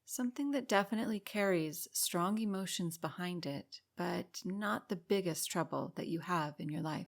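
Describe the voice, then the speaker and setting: A woman with a slightly low voice narrates a guided meditation. She speaks calmly and soothingly, giving unhurried instructions with room left between phrases. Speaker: meditation guide and podcast host.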